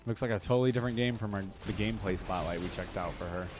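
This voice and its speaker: A man with a fairly low voice reacts casually to game clips, thinking out loud as he goes. Faint music plays behind his commentary. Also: racing. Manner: musing, thinking aloud.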